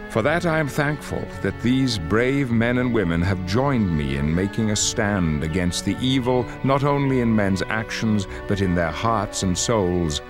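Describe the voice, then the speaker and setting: A man's voice: lowish voice, animated, measured pace, hardly any pauses. A man playing a grave, dignified leader of superhero mutants, delivering solemn, portentous dramatic narration for a video game. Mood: solemn, resolute. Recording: music under the voice. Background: music.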